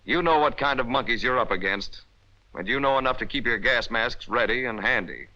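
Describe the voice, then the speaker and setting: Sharp, forceful 1940s American training film narrator, male. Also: medium pitch, brisk. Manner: emphatic, instructional, clipped directives. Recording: dull, band-limited 1940s film soundtrack.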